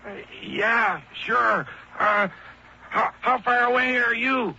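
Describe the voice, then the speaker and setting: A man's voice: extremely high pitch, takes it slowly, vocal fry, sharp tone, full character voice. An actor playing a guilty, scheming man alone at sea, stammering and muttering nervously to himself in an old-time radio drama. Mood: nervous, evasive. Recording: dull radio drama recording, heavy noise.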